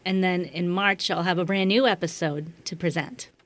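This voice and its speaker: A woman's voice: fairly low pitch, steady tone, normal speed, no room sound. A woman speaking as a guest in a casual radio interview, agreeable and informal. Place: radio station studio.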